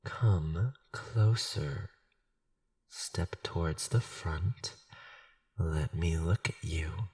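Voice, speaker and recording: A man playing a powerful, sinister vampire lord in an ASMR roleplay, possessive, silky and condescending, with a low, gravelly, breathy voice. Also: darkish tone, no echo, studio quality, exaggerated voice. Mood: menacing, seductive.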